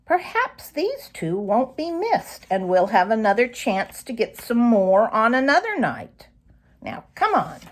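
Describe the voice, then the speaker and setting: A woman puts on an old granny fox from a children's storybook. She is shrewd and bossy, scolding in snappish commands with a very lively pitch. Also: a little slow, full character voice. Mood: firm. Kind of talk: children's storybook read aloud.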